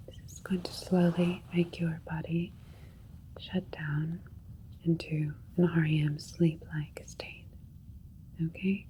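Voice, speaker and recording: A woman playing a calm, clinical alien doctor in an ASMR sci-fi roleplay, whispering breathy, soothing, methodical instructions. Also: sits low, low-quality recording. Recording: faint ambient noise. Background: faint spaceship ambience.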